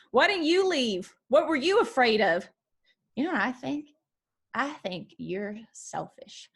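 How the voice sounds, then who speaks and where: A woman acting a defiant, wounded young daughter, higher-pitched. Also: a bit bright. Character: defiant young country singer, wounded daughter in her twenties. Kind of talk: screenplay table read.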